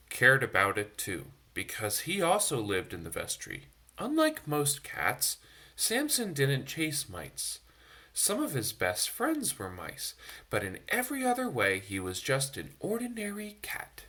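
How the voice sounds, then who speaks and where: A man's voice: mid-range voice, bright, forceful tone, husky. A man reading a picture book aloud to children, expressively, with chatty asides to his young listeners. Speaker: storytime reader for a church. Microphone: phone.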